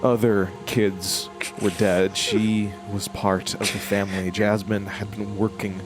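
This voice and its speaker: Slow, low-voiced brooding man acting in horror drama. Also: flat delivery, over music, close to an everyday voice. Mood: somber, reflective. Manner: slow, halting, reflective delivery. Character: brooding male narrator of a horror tale, haunted, grave.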